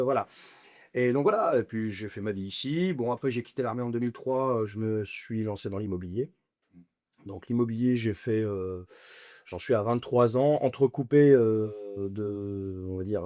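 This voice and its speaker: A man's voice: medium pitch, a little mellow, no room sound, clean studio sound. A man in his forties from Lebanon, a podcast guest telling his life story casually and bluntly, with slang, many fillers and chuckles. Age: 40s.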